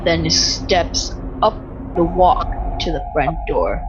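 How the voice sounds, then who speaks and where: Slow, suspenseful female story narrator. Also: deep voice, expressive, lo-fi sound, music in the background. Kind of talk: dramatic scripted story narration.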